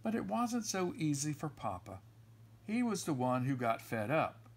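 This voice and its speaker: A man reading a children's story aloud in an expressive storytelling style. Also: on the high side, moderate pace, husky. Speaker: storybook reader.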